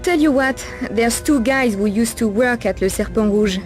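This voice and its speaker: A woman's voice: fairly high pitch, moderate pace, over music, lightly acted. A woman playing a world-weary, streetwise Parisian streetwalker in a video game, knowing, familiar and sardonic. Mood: gossipy, knowing. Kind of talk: video game cutscene acting.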